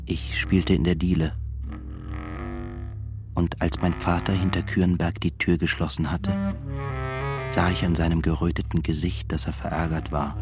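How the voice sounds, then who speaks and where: An actor playing a pensive son in a radio play, recounting memories in a subdued, reflective way. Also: male voice, sits low, level tone, moderate pace, pauses often, close to an everyday voice. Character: pensive son recalling his childhood and severe father. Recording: music under the voice.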